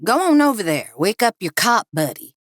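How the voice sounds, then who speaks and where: A woman's voice: mid-range voice, sing-song, moderate pace, no room sound, studio quality, pitched above the performer's own voice, lightly acted. Woman voicing a menacing, snarling armed thug. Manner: barking, threatening commands. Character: menacing, foul-mouthed armed male thug, snarling orders.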